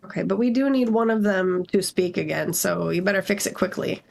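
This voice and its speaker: A woman's voice: mid-range voice, a little fast, gravelly, a little mellow. A woman narrating a story live in a group reading, with casual asides.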